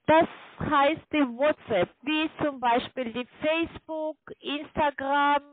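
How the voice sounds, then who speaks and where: A woman call centre agent with a foreign accent, not a native speaker, instructing a customer over the telephone in halting phrases. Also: high voice, fairly even intonation. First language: not German. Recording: tinny narrowband phone line.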